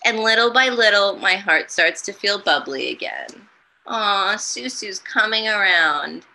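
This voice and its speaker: A woman reading a children's storybook aloud and commenting in a gentle, encouraging way. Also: medium pitch, animated, unhurried, creaky, rough recording. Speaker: storytime reader and host.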